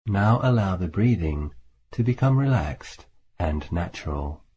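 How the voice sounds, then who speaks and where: A man leading a guided meditation as a teacher, speaking in a calm, measured way with long pauses between phrases. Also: low voice, breathy edge. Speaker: Buddhist monk, meditation teacher. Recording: faint background hiss.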